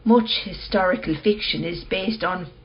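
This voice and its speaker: A husky, fairly low female voice, an actress playing a composed and enigmatic seller of curios in a radio play. Her delivery is understated and calm. The sound is slightly muffled and lo-fi. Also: normal speed. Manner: understated, unruffled.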